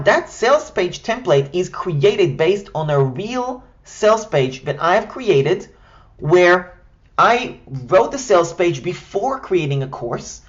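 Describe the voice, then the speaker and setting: A man's voice: high voice, a bit bright, lo-fi sound. A man from Israel, a native Hebrew speaker with an Israeli accent, giving a scripted, step-by-step tutorial on building an online course. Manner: structured, step-by-step, direct.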